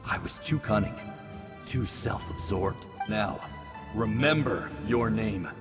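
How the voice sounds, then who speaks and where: An actor playing a wry, dignified ancestral hero in a video game, speaking in a measured, reflective way. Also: male voice, lowish voice. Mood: solemn, wry. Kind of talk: scripted video game dialogue. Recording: long reverb, music underneath.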